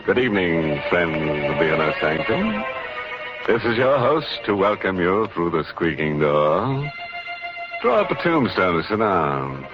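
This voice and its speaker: A very deep-voiced American ghoulish host, theatrical and sardonic, with macabre humor. It is a muffled vintage radio broadcast with music behind. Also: male voice. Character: macabre, joking male ghoulish host of a haunted sanctum. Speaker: host of a radio mystery series. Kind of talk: 1940s radio horror hosting.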